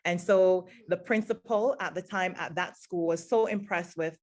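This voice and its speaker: A woman's voice: on the low side, normal speed, a little mellow. A woman who grew up in Jamaica, a teacher, answering interview questions in an earnest, reflective way with many fillers. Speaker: guest, teacher.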